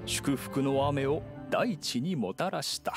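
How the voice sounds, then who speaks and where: An actor playing a storyteller in a video game, reciting old legend in a theatrical, declamatory way. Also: male voice, medium pitch, slow. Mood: dramatic. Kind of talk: dramatic scripted legend narration. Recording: music behind the voice.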